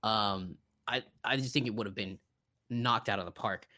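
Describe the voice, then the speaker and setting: A man's voice: on the low side, normal speed, pushed and bright. A man co-hosting a podcast, chatting casually and enthusiastically about films, with some loose swearing. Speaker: podcast co-host. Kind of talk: casual film chat.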